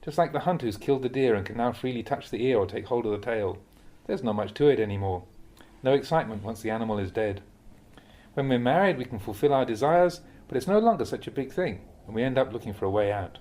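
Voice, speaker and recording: Measured man reading a Buddhist talk. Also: medium pitch, animated, warm tone. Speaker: Buddhist monk reading translated teachings aloud. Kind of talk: audiobook-style reading of a dharma talk.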